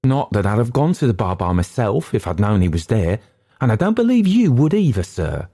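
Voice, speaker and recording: Male narrator as nervous sheepish waiter, higher animated voice, breathless, protesting, servile, ghost story. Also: a little fast. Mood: sheepish, flustered. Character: frightened, sheepish young male inn waiter. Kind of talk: acted dialogue in a ghost story reading.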